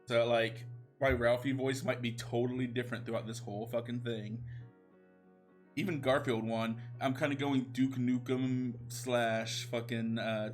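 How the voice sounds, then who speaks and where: A man livestreaming a comic story reading, chatting casually with his viewers in an irreverent, sweary manner. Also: medium pitch. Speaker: streamer, fan fiction reader.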